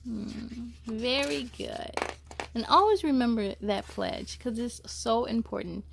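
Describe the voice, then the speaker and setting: A woman's voice: on the high side, takes it slowly, creaky, background noise. A woman hosting a children's story time, reading aloud expressively and speaking to young listeners in an encouraging way.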